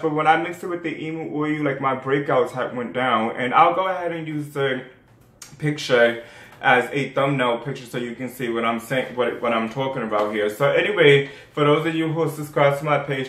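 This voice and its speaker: A man's voice: medium pitch, steady tone, a little fast, fairly bright tone, roomy sound. Chatty young man with a New York accent. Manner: rambling, chatty, many fillers and restarts. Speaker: skincare vlogger. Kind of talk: casual how-to vlog.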